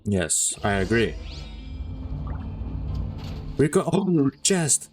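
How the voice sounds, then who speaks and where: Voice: mid-range voice, big pitch swings, very slow, darkish tone. A game streamer making casual, playful commentary, gushing and easily flustered while chatting to the characters. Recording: game music behind the voice.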